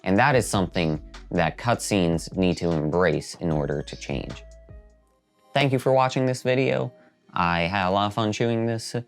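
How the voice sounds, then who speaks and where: Low-voiced man narrating a video essay. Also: normal speed. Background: background music.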